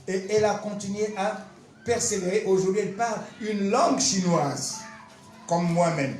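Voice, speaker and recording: A man, a pastor giving an animated, emphatic testimony and teaching with repetitive storytelling. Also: high voice, moderate pace. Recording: roomy echo, faint music behind.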